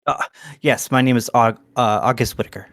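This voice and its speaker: A man's voice: mid-range voice, steady tone, brisk, no room sound, natural voice. A man playing an awkward, polite valet in a tabletop game, hesitant and stammering.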